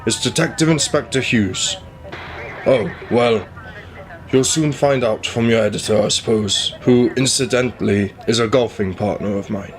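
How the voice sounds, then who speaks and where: A man's voice: mid-range voice, moderate pace, close to an everyday voice. A man playing a curt, irritable detective inspector in a radio play, dismissive and used to being in charge. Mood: irritated, dismissive. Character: brusque, irritable male police detective inspector.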